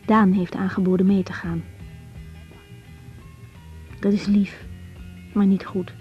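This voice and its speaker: A woman's voice: mid-range voice, level tone, slow pace, faint music behind, close to an everyday voice. An actress playing a troubled, conscientious young woman doctor in a Dutch radio drama, speaking hesitantly. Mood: brooding, weary. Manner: hesitant, searching for words. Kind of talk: 1980s Dutch radio drama acting with spoken diary monologue. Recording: dull 1980s radio recording.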